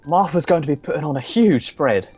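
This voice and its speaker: A man acts a meek, good-hearted Victorian clerk for radio drama. He is polite, with a slightly high voice over faint music. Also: animated, a little fast, a little mellow, slightly rough. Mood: cheerful. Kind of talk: radio play acting.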